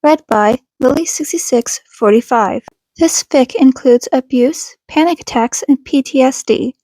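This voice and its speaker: High-pitched woman reading a story slowly. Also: dark tone, dry recording, rough recording. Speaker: podfic reader. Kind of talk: scripted audiobook narration of fan fiction.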